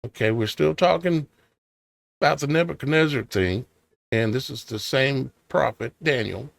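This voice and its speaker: A man's voice: mid-range voice, creaky, no echo. A tired man whose voice is starting to go, reading scripture aloud and musing on it with hesitant, questioning asides. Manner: reads old scripture in a measured cadence. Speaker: online preacher.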